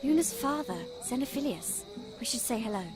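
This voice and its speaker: Teenage witch, high voice, caring, bossy, video game, music behind. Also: animated, brisk. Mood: concerned.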